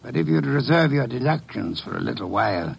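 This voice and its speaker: An imperious, erudite detective in an old radio mystery. His voice is gravelly and husky, swooping expressively through formal, dryly witty phrases. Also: mid-range voice, sing-song, fairly quick, full character voice. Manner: formal, precise diction, dry. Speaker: radio actor playing a detective. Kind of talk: old-time radio detective drama acting.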